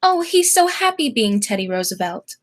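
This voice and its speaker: Young woman playing a high-voiced, kindly old aunt. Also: sing-song, normal speed. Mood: mild, genteel. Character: gentle, dainty elderly aunt, mild and kindly. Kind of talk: high school radio play acting.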